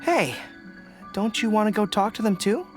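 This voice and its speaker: A youthful, boyish general speaks with lively intonation. He is earnest and encouraging in a game performance, with music underneath. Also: high voice, moderate pace. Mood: friendly, puzzled. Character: boyish, friendly young male general. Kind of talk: video game voice acting.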